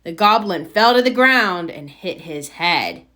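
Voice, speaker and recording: Female narrator for early-reader storybooks: expressive, unhurried, clearly phrased, with lively intonation. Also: lowish voice. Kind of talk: children's read-aloud, scripted narration.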